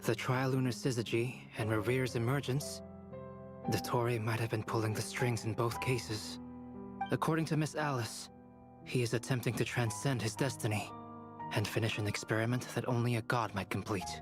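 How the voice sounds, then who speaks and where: A man playing a composed, analytical scholar in a video game, speaking in a measured, formal way. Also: mid-range voice, steady tone, close to an everyday voice. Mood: grave, analytical. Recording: music behind the voice.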